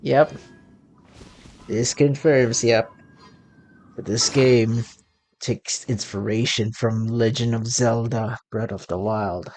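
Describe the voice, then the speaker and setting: A gaming content creator giving casual let's play commentary in a halting, rambling way. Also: mid-range voice, fairly even intonation, darkish tone.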